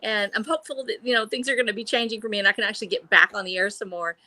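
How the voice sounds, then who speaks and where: A woman's voice: medium pitch, fairly even intonation, racing, pushed and bright, no echo. A woman in her sixties, a guest on a live video call stream, chatting in a bubbly way and laughing often. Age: 60s. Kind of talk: casual chat on a live stream.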